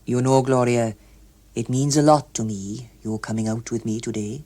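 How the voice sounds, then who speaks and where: A woman's voice: a bass voice, level tone, normal speed, warm tone, voice pushed down. A woman voicing an earnest, lovesick, self-pitying man with a hesitant, pleading manner in an audiobook. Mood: earnest, wooing.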